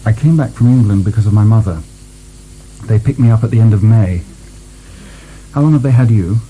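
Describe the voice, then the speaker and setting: Very soft dark male tone, lowish pitch, weary French nobleman in prison, acted by a man, wry, musing, radio play. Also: fairly quick, a little hiss or hum, close to an everyday voice, low-quality recording. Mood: subdued. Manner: hesitant, musing, wryly ironic. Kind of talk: radio drama acting.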